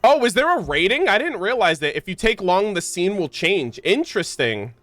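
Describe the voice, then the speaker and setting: A man's voice: high-pitched, big pitch swings, normal speed, fairly bright tone, no room sound. A man giving casual, slangy live game-stream commentary and chatting to his viewers. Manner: slangy, informal. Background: game music.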